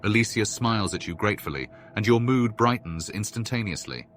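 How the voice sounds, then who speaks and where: A man narrating a fantasy game quest as a storyteller, in measured, literary sentences. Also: lowish voice, expressive, fairly bright tone, soft background music. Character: male second-person storyteller of a fantasy quest.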